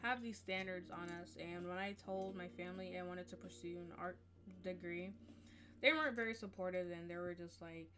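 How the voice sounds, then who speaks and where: A woman's voice: lowish voice, moderate pace, sharp tone. A young woman from Jamaica hosting a podcast in casual chat, talking openly and reflectively and leaning on a lot of likes and you knows. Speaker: podcast host.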